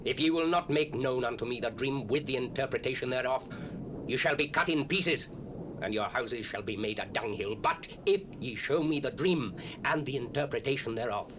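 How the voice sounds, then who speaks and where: A man's voice: mid-range voice, lively intonation, normal speed, close to an everyday voice. An actor playing a stern, angry king of Babylon who threatens his wise men, on an old cassette with tape hiss. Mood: commanding. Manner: commanding, threatening.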